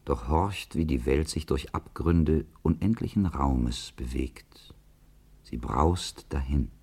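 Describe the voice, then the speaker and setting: Very deep, gravelly prophet of doom. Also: male voice, expressive, darkish tone, full character voice. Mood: ominous. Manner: portentous, deliberate. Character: doom-laden, grave man envisioning humanity's extinction. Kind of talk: literary radio play monologue.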